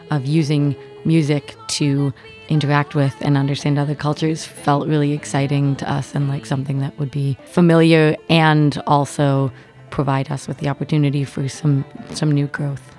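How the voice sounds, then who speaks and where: Low-pitched North American woman over music. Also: little pitch movement, moderate pace, a little mellow. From Ontario, Canada. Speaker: touring violinist and songwriter. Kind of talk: reflective personal storytelling for a podcast. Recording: music bed under the voice.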